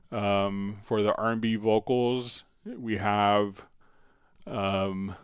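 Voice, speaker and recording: A man hosting a casual software tutorial, thinking aloud and hesitating as he demonstrates. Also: on the low side, clear, resonant tone, no echo, clean studio sound. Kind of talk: casual screen-share software tutorial, live stream.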